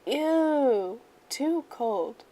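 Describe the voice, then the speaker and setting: A woman acts out a curious young girl from a picture book. She is picky and exclaims her verdicts in short, expressive bursts. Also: high-pitched, extremely slow, pitched above the performer's own voice, full character voice. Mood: disgusted.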